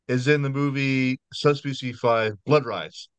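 A man's voice: medium pitch, steady tone, unhurried, clean tone, dry recording. A man hosting a horror podcast interview over a video call, chatty and apologetic, often restarting his sentences. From United States. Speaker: podcast host.

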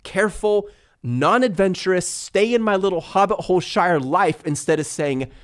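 A middle-aged man co-hosting a casual motivational talk, emphatic and animated as he tells stories. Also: sits high, normal speed. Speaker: podcast co-host, business owner.

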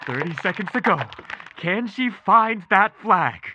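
An actor playing an excitable nineties kids' TV game show host, with showy, hyped announcing. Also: medium pitch, a little slow, noisy background, natural voice. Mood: tense countdown. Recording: dull lo-fi TV broadcast sound.

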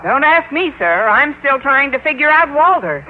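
An actress playing a quick-witted, sarcastic female schoolteacher in an old-time radio sitcom, with a deadpan delivery. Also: high voice. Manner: deadpan wisecracking. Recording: noisy narrow-band vintage radio, loud audience.

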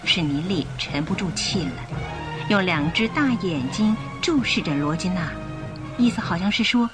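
Female radio-drama narrator, slightly low and highly animated, expressive storytelling over clear music. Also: normal speed.

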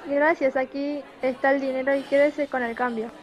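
Radio play lead: scheming young woman, female actor, high pitch, slightly dull recording. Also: fairly even intonation, moderate pace, a faint crowd, close to an everyday voice. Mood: coy. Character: scheming young woman secretly bent on avenging her father. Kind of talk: amateur radio play acting.

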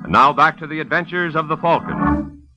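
A man's voice: on the high side, lively intonation, moderate pace, over loud music. An American man announcing on vintage radio, with a polished, emphatic scripted sales and narration delivery. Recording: dull, dry vintage radio recording.

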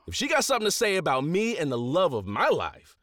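An actor playing a cocky, smitten young tech intern with a flippant, showy manner in a sitcom audio drama. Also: male voice, on the high side, huge pitch swings, fast, very noisy.

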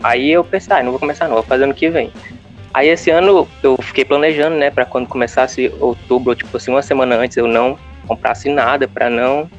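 A man's voice: medium pitch, fairly even intonation, quick pace, soft background music. Caller: man, Fortaleza accent, rambling, muffled lo-fi line. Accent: Fortaleza Brazilian Portuguese. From Fortaleza, Brazil. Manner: chatty, rambling with restarts. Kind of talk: casual chat.

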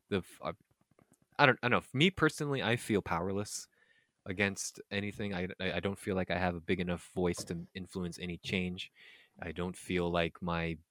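Male podcast co-host: low register, close dry sound, earnest, stumbling over repeats in casual discussion. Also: fairly bright tone, no room sound. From United States. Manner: earnest, halting, repeats words.

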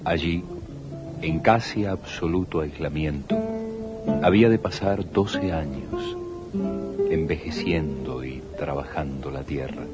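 Male radio-drama narrator: very low, unhurried, solemn, loud guitar music behind. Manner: solemn, literary. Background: loud plucked guitar music.

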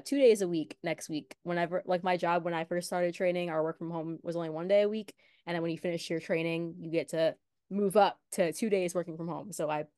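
A woman's voice: fairly low pitch, darkish tone, smooth, no echo, studio quality. A young adult woman co-hosting a podcast, congested and nasal from a cold, chatting casually. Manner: chatty, laughs between lines. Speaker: podcast co-host. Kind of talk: casual chat.

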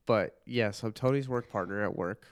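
A man in his late twenties from Illinois talks as a podcast guest. He often hesitates and trails off mid-sentence. His voice sits fairly low, recorded close and dry. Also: no echo. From Illinois, USA. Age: 20s. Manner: hesitant, halting, mild-mannered. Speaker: police officer, podcast guest. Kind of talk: casual chat.

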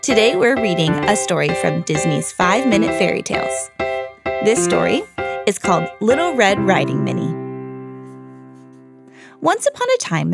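A woman hosting a read-aloud podcast, narrating a scripted children's fairy tale in an expressive storytelling style. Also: medium pitch, vocal fry.

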